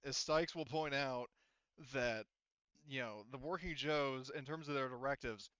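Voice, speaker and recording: A man's voice: medium pitch, fairly bright tone, clear, resonant tone, no echo, highs cut off. A man working as game master, explaining rules and narrating a tabletop roleplaying game in a rambling, explanatory way.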